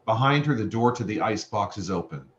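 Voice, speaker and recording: Low male narrator in a roomy, muffled recording. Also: expressive, brisk. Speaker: narrator of stage directions. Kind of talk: scripted narration. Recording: roomy, muffled, slightly noisy microphone.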